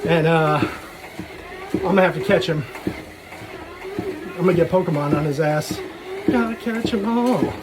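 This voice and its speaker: A man's voice: on the high side, normal speed, pauses often, crowd noise, rough recording. A man giving a casual, rambling solo vlog monologue in frank, slangy, sweary talk. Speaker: solo vlogger.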